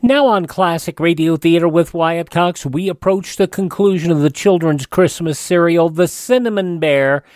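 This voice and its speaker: A man with a fairly high voice introduces vintage radio shows from a script. The sound is dry and close, as a radio host would be. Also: normal speed, hardly any pauses, darkish tone, no echo.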